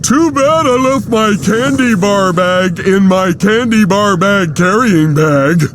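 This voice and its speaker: A man voicing a dim-witted, carefree cartoon starfish for a video game, with a goofy, naive, unhurried delivery. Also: high-pitched, lively intonation, noisy background. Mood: naive concern. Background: loud game music.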